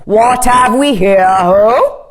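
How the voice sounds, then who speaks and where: A woman's voice: fairly high pitch, very slow, over a noisy crowd, voice pushed up. A woman playing a pompous, irritable and self-satisfied storybook king, emphatic and blustering.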